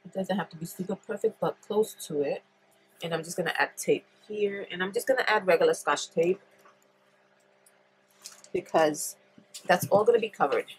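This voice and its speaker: Stuffy-nosed lady, low voice, rambling thru a paper craft tutorial, lots of ums, hissy recording. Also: moderate pace, long pauses, poor audio. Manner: chatty, thinks aloud with many ums. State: congested. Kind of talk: relaxed step-by-step craft demonstration. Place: indoors at a craft table.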